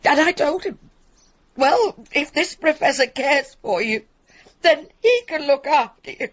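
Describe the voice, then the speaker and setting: A woman's voice: sits high, expressive, measured pace, highs cut off. An actress playing a bitter, proud, guilt-ridden middle-aged mother in a radio drama. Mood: defensive, regretful.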